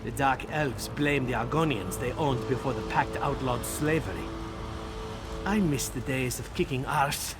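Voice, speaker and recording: An actor playing a blunt, weary warrior turned town official in a fantasy video game, dry and officious. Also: male voice, medium pitch, measured pace, loud music behind the voice, lightly acted. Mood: weary grumbling.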